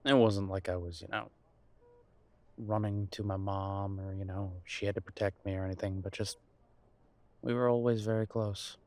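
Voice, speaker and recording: A man acting in an intimate audio roleplay as a nervous, reassuring grown son, speaking haltingly and affectionately to his partner. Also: fairly low pitch, level tone, natural voice. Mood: wistful, apprehensive. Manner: hesitant, halting, sighing delivery with soft chuckles.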